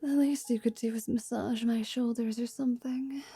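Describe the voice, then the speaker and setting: A woman playing a confident, teasing half-vampire wizard in an intimate ASMR roleplay, speaking in a soft, languid whisper. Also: a little high, steady tone, normal speed, slightly rough, no room sound, soft background music. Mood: teasing, curious.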